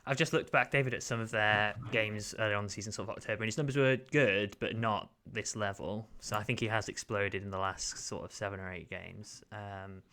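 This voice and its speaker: A man's voice: fairly low pitch, steady tone, a little fast, few pauses, sharp tone, smooth. A man co-hosting a podcast, chatting in an easygoing way and laughing readily. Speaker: podcast co-host. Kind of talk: casual podcast chat.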